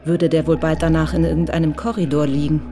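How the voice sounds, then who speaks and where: A woman's voice: sits low, little pitch movement, fast talker, dark tone, lightly acted. A woman narrating a crime radio drama as a composed, detached storyteller. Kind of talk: scripted literary narration in a radio play.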